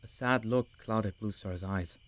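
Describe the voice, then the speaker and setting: Lowish-voiced male audiobook narrator. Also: measured pace, fairly bright tone. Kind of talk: scripted story narration.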